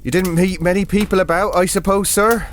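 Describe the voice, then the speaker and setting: A man's voice: sits high, fairly even intonation, brisk, a little hiss or hum, voice pushed up, natural voice. A man voicing a deferential, watchful hotel servant in an audio drama, polite and chatty. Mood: inquisitive. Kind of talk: quoted character in audio drama.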